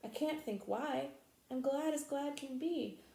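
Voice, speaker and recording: A woman's voice: medium pitch, fairly quick, gravelly, dark tone, reverberant, close to an everyday voice. A woman voicing an earnest, dreamy orphan girl from a storybook, imploring and gushing with dramatic feeling. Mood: tearful joy.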